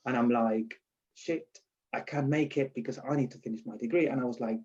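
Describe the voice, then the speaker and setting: A man's voice: medium pitch, a little fast, darkish tone, breathy edge, highs cut off. A man from Spain with a strong Spanish accent, chatting in an interview and telling stories with restarts and laughs. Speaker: lecturer being interviewed. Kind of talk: casual interview.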